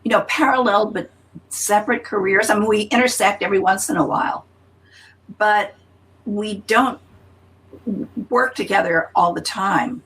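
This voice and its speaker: An older woman telling a story in a casual interview, lively, with frequent laughs and restarts. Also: medium pitch, normal speed, rough recording. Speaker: interview guest.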